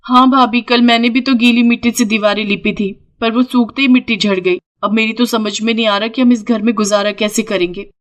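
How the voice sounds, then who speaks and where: A woman voicing poor, resourceful young village daughters-in-law in an animated moral story, expressive and full of exclamations. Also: fairly high pitch, brisk, few pauses, close to an everyday voice. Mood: worried.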